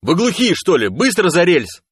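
A man voicing a gruff, bossy, hot-tempered male stalker in an audiobook story, barking and cursing in folksy phrases. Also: mid-range voice, lively intonation, normal speed, no echo, clean studio sound, lightly acted. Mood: barking orders. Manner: barking, colourful mock curses.

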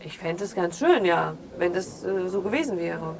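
A woman's voice: lowish voice, animated, moderate pace, creaky, a crowd in the background. A woman playing an aggrieved, defensive grown daughter in a naturalistic radio drama, arguing insistently with faltering restarts. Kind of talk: improvised naturalistic interview drama in conversational speech.

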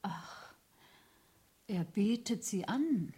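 A husky female voice of medium pitch as a scheming woman in a seventies radio drama. She speaks slowly and politely, quietly probing. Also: animated, heavily acted. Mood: probing, feigned sympathy. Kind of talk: 1970s radio play acting.

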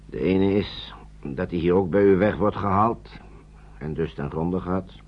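An actor playing an earnest, persuasive man protecting children in wartime, speaking deliberately in a Dutch radio play. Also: low-pitched. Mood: earnest, measured. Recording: dull old radio play recording.

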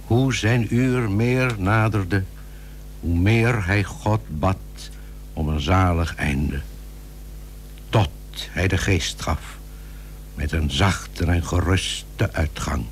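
Male narrator for a vintage radio play, grave and formal, low-pitched and unhurried. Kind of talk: scripted old radio drama narration. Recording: old radio recording with faint hum.

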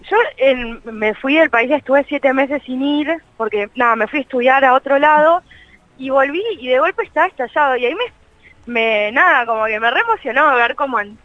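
A woman's voice: sits high, moderate pace, no room sound, muffled recording. A young Argentine woman chatting casually as an interview guest, with a colloquial, slangy and self-deprecating manner. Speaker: interview guest, co-creator of a meme page. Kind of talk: casual chat in a radio interview.

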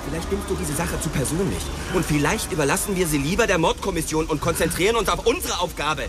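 A man's voice: on the high side, fast talker, over music, lightly acted. A man playing a sardonic cop partner. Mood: needling. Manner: needling, sarcastic, explodes into shouting. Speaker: police detective. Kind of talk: German radio drama acting.

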